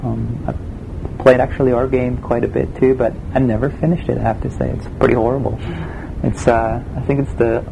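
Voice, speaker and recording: A man with a Swiss German accent, a game production designer answering interview questions in a relaxed, hesitant way with many fillers. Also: medium pitch, moderate pace, gravelly, noisy background. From Switzerland.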